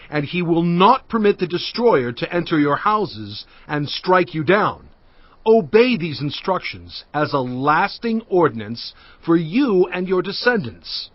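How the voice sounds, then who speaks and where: An emphatic Moses. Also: male voice, fairly high pitch, dry recording. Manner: emphatic, instructive. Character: earnest middle-aged Hebrew leader instructing elders. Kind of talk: dramatized scripture reading. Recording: dry, dull audio.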